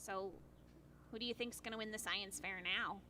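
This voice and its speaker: A woman playing a smart, dry, unimpressed young woman in an audio drama, with deadpan delivery. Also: medium pitch, moderate pace, lightly acted. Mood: dry, teasing. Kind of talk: naturalistic audio drama acting. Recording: faint background noise.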